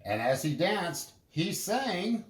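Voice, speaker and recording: A man narrating a children's story in an expressive, deliberate storytelling manner. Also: high voice, roomy sound, loud music behind the voice. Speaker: storybook reader.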